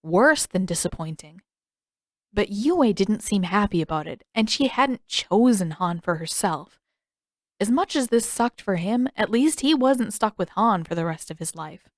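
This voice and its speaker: A woman's voice: mid-range voice, animated, unhurried, dry recording, studio quality. A woman reading scripted fiction aloud as the narrator of an audio story. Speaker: podfic narrator.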